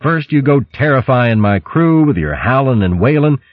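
A man voicing a bold, sturdy mouse chieftain in a storybook, speaking briskly and with command. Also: medium pitch, very animated, dry recording, phone-quality sound, voice pushed up, lightly acted. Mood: indignant. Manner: brisk, commanding, gruff banter. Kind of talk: audiobook character voice.

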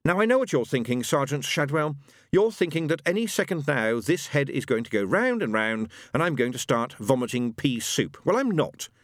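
A man's voice: medium pitch, sing-song, normal speed, no room sound, studio quality, voice pushed up, close to an everyday voice. A man doing a prim, genteel angel. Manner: fussy, elaborately polite. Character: prim, genteel male angel, refined English gentleman.

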